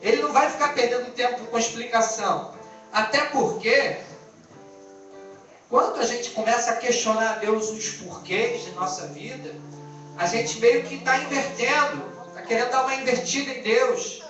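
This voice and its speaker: A man's voice: very high-pitched, fairly even intonation, moderate pace, fairly bright tone. Male preacher, Brazilian Portuguese accent, exhorting informally with rhetorical questions; dull, distant live-stream sound. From born in Angola, lived in Brazil. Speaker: pastor preaching to a church. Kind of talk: informal sermon. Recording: echoey distant band-limited live stream, music behind.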